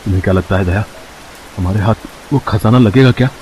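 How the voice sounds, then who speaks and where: A man voicing an anxious, excitable young man who talks casually and dramatically to his friend in an audio story. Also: fairly low pitch, fast talker, slight background noise, close to an everyday voice, low-quality recording. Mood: uncertain. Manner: colloquial, worried, dramatic.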